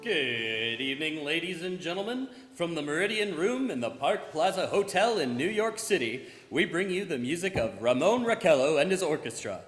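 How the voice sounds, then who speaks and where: A man's voice: fairly high pitch, sing-song, normal speed, few pauses, a bit bright. A man playing a genial, formal announcer presenting an orchestra in a live 1930s-style radio play. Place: church, live performance. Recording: echoey hall, music behind. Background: music.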